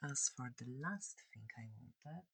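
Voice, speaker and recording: A polite, curious alien examiner, played by an ASMR performer, speaks softly and slowly right at the mic. The voice is husky with a gravelly creak. The delivery is hesitant and reassuring, like a gentle examination roleplay. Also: mid-range voice, expressive, dry recording, full character voice. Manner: soft-spoken, hesitant, reassuring. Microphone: close microphone.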